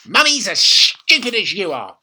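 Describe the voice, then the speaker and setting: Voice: mid-range voice, big pitch swings, pushed and bright, voice pushed up, heavily acted. A reader voicing a nasty, witchy old grandmother in a storybook, bossy and gloating, with a throaty voice and menacing emphasis. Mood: snappish, bossy. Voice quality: throaty, raspy, whispery at times. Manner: snapping, slow menacing emphasis.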